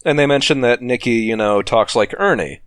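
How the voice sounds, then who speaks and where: A man's voice: mid-range voice, a little fast, fairly bright tone, smooth, no room sound, clean studio sound. A man rambling through anecdotes as a podcast guest, chatty and laughing often. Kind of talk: casual podcast chat.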